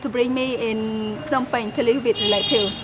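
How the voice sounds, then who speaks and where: A woman's voice: on the high side, steady tone, normal speed, very noisy. A woman in her thirties with a Khmer accent, speaking earnestly and carefully in a documentary interview. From Cambodia. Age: 30s. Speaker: former student interviewee.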